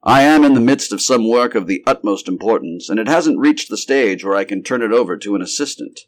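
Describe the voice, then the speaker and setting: A man's voice: fairly low pitch, lively intonation, a little fast, voice pushed up, natural voice. A man voicing a brusque, skeptical male scientist in a story, with emphatic, wordy, learned phrasing. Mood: impatient. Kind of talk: acted story dialogue.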